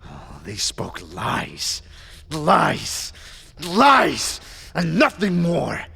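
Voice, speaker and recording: A man's voice: on the high side, wildly swooping pitch, extremely slow, creaky, bright, forceful tone, breathy edge, pitched above the performer's own voice, heavily acted. A man voicing a paranoid, mad earlier self of an immortal man in an audio drama, erratic between sly muttering and frantic outbursts. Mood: manic rage.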